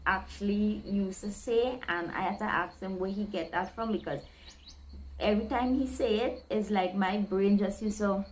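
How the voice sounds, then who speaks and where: A woman with a Guyanese accent, tinged with Trinidadian, talks straight to her viewers in a relaxed vlog. Her voice sits at an ordinary middle pitch. She is chatty and expressive, voicing the local phrases she explains. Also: moderate pace. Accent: Guyanese, with Trinidadian creeping in. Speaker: vlogger explaining slang.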